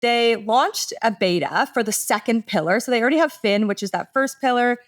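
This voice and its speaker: A woman's voice: a little high, animated, brisk. A woman giving a conversational business presentation as a podcast panelist, explaining things with enthusiasm in casual phrasing.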